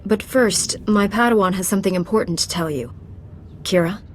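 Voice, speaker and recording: A woman's voice: medium pitch, moderate pace, slight background noise, natural voice. A woman acting a composed, dutiful Jedi Knight and mentor in a video game, speaking earnestly and formally.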